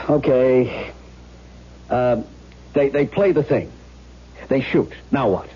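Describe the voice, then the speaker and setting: A muffled vintage radio drama recording of a skeptical police lieutenant. His voice is husky and medium in pitch, and he presses his questions in a businesslike, insistent way. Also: drawn-out words. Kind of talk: 1970s radio drama acting.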